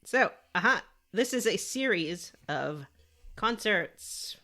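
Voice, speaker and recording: A woman talks casually as a podcast co-host. Her voice sits at an average pitch and swings widely in intonation. She moves between chatting and reading out gaming news. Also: lively intonation, slow, sharp tone.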